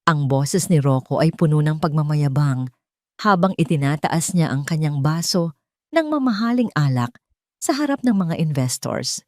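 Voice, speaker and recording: A woman's voice: deep voice, expressive, moderate pace, no room sound, studio quality. A woman reading a dramatic first-person story as an audio narrator.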